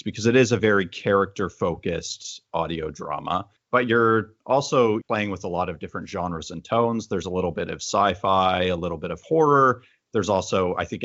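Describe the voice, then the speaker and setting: A man with a very low voice puts measured questions to guests as an interviewer. The sound is dry and close, though somewhat dull. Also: fairly bright tone, dry recording. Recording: dull, band-limited audio.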